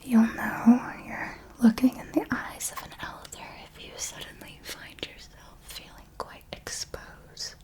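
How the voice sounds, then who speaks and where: Whispering, slow-paced vampire mentor played by a woman. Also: fairly high pitch, steady tone, lightly acted. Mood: hushed, instructive. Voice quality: breathy, hushed whisper. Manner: slow, deliberate, refined, instructive. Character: elegant, knowing female vampire mentor, patient and refined. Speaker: ASMR roleplay performer. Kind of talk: whispered ASMR roleplay, scripted monologue.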